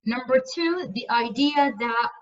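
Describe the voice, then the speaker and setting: A woman's voice: high voice, a little slow. Distant, muffled woman lecturing. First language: Arabic. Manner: hesitant, many fillers and restarts. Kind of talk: university lecture on translation. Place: lecture room.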